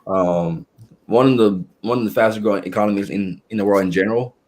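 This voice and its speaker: Halting young man, lowish voice. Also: fairly even intonation, a little mellow. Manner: halting, with frequent restarts and fillers. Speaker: guest. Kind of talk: casual conversational interview.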